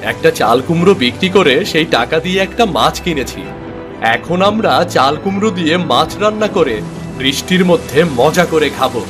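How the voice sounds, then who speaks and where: A man's voice: on the high side, animated, a little fast, music in the background, lightly acted. A man voicing a good-hearted, eager poor village husband, earnest and folksy, in a cartoon folk tale. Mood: earnest, pleased.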